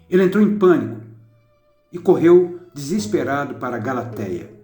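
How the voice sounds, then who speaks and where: A man narrating a documentary from a script in a measured, even storytelling manner. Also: fairly high pitch. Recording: roomy narration over soft instrumental music.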